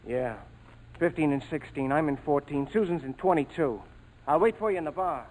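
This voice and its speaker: An earnest young man in love acted by a man in a 1940s radio play. His voice sits fairly high, sincere and plaintive, with a stubborn urgency. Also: moderate pace, darkish tone, lightly acted, poor audio. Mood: brisk.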